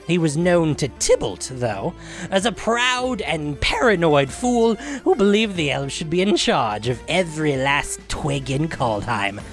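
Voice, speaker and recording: A gleefully wicked devil storyteller, played by a man, recounts a fantasy saga over music. The delivery swoops widely, sly and grandiose, lingering on choice words with theatrical pauses. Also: on the high side, very animated. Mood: sly, relishing.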